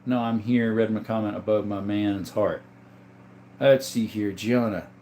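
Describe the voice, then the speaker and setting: Relaxed man chatting on a livestream. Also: fairly low pitch, unhurried, a little hiss or hum. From Arizona. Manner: relaxed, joking, reads comments aloud. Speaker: livestream host reading chat. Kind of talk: casual livestream chat. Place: indoors, room with guns on display.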